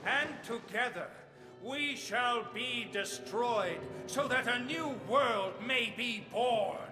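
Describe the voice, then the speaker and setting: A man's voice: very high-pitched, slow. A man playing a courteous, fanatical doomsday cult leader in a video game, ceremonious and unctuous. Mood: serene, welcoming. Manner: unctuous, ceremonious, over-polite. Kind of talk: theatrical video game voice acting. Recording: echoey hall reverb over game music.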